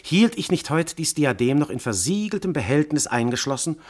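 An actor playing a commanding, seductive god posing as a noble husband, declaiming classical radio verse in a theatrical, grandiose manner. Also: male voice, on the high side, sing-song, brisk, husky. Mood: ardent persuasion. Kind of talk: classical German stage verse in a radio play.

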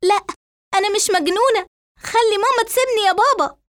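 A woman's voice: high-pitched, fast talker, no room sound, studio quality, voice pushed up, lightly acted. A woman voicing a fragile but defiant daughter in an audio story, emotional and insistent, with an Egyptian accent. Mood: tearful. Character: defiant, fragile daughter devoted to dead father.

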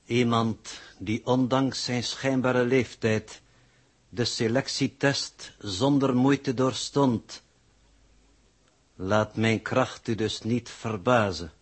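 A man acting a cold, superior old man, an alien in disguise, speaking formally and sardonically in a 1960s radio drama, with a husky voice. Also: mid-range voice, slow pace. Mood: coolly superior. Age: older.

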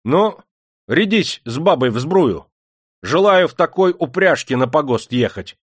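Man acting a loud, imperious factory-owning bully. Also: a little high, lively intonation, unhurried, breathy edge, dry recording, studio quality, voice pushed up. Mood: mocking command. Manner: barking, taunting, imperious. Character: despotic, jeering Russian factory owner, hot-tempered bully.

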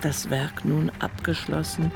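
A narrator in a scripted radio drama, telling a poet's journey in measured, wry, literary phrases. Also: mid-range voice, little pitch movement, vocal fry, over music.

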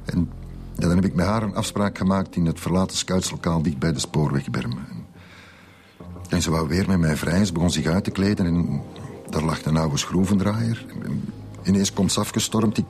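An actor playing a troubled, middle-aged school principal in a radio drama, halting and subdued, with a Flemish accent. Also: male voice, sits low, darkish tone. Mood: halting, distressed. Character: troubled male school principal confessing, resigned and hesitant. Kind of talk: naturalistic radio drama acting.

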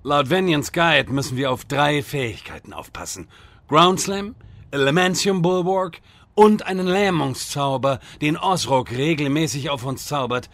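A man voicing a nerdy, childlike wizard who is pedantic and excitable, in a fantasy game parody audio drama. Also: a little high, measured pace. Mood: pedantic, alarmed. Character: nerdy, childlike male wizard reciting tactics.

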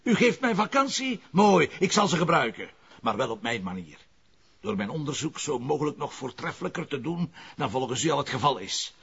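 An actor playing a stubborn, sardonic middle-aged police commissioner in a TV crime drama, blunt and dry, with a Flemish Belgian accent. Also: male voice, fairly high pitch, very animated, fairly quick, gravelly, a bit bright, breathy edge, full character voice. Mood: defiant. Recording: muffled audio with faint noise.

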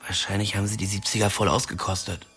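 Best friend role: male actor as slangy young guy, blunt, lowish voice, clear music behind. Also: little pitch movement, quick pace, fairly bright tone, close to an everyday voice. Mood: cheeky. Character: young small-town man, blunt, bitter, cheeky best friend. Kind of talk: radio drama dialogue.

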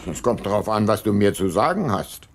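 An actor playing a brooding, probing private detective, narrating his case in a measured way in a radio crime drama. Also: lowish voice, big pitch swings, a little hiss or hum.

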